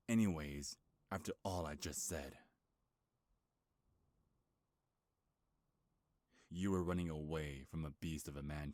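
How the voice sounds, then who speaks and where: A man playing an anguished, bitter, centuries-old cursed beast in a dramatic ASMR roleplay, with a gravelly, husky growl and a slow, brooding, halting delivery. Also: extremely low pitch, a little mellow, no room sound. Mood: brooding, suspicious.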